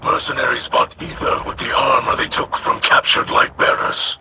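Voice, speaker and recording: A man's voice: mid-range voice, little pitch movement, moderate pace, bright, forceful tone, faint music behind. A man playing an honourable, loyal alien house leader in a video game, terse and formal. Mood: stern. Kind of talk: scripted video game acting.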